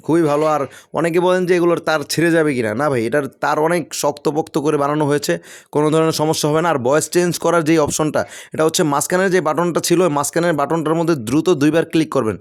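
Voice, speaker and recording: Very fast-talking salesman. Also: on the high side. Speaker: gadget seller presenting a product. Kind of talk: promotional product demo narration.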